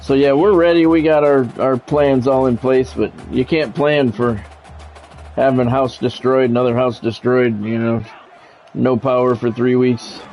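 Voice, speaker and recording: A man's voice: mid-range voice, fairly even intonation, a little slow, lo-fi sound, soft background music. A middle-aged man doing live football game commentary mixed with casual chat, talking in a blunt, profane, conversational way. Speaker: game streamer and play-by-play commentator.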